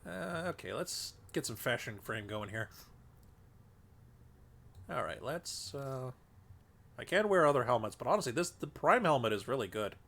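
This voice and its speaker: A man's voice: mid-range voice, big pitch swings, normal speed, vocal fry, long pauses, fairly bright tone. Man, congested, mid-range voice, rambling streamer chatter, desk mic. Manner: rambling, thinking aloud with many fillers. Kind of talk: casual gameplay commentary. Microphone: desk microphone.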